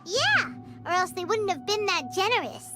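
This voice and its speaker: Casting: tiny floating girl sidekick for fantasy game, very high squeaky voice, blunt and chatty, loud music underneath. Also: very animated, normal speed. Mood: musing. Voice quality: squeaky, childlike. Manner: exclamatory, refers to self in third person. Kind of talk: animated fantasy game dialogue. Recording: music behind the voice.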